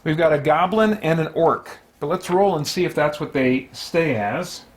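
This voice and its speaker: A man with a voice pitched a little above average hosts a solo tabletop game. He talks casually and without a script, thinking aloud in a halting way as he narrates his own play to himself and the viewer.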